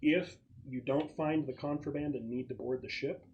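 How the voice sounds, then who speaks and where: A man's voice: mid-range voice, steady tone, moderate pace. A man acting as game master, casually explaining plans to players at a tabletop roleplaying game. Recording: muffled, slightly noisy group recording.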